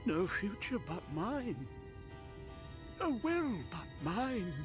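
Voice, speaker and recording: A man's voice: high voice, sing-song, slow, full character voice. A man playing a grandiose, gluttonous, deluded king in a video game, pompous and theatrical, swinging between murmurs and screamed rants. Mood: imperious, grandiose. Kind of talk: dramatic video game voice acting. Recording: game music behind.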